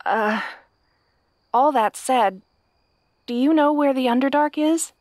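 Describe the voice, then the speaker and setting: A woman acts out a kind young fantasy princess with a fairly high voice, recorded close and dry. She is polite and earnest, a little naive, and hesitates as she explains herself directly to the listener. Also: slow, a little mellow, no room sound. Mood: earnest explaining. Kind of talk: fantasy audio roleplay addressed to the listener.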